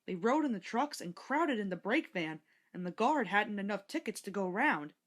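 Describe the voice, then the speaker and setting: A woman's voice: medium pitch, expressive, moderate pace. A woman reading a scripted children's story aloud as narrator.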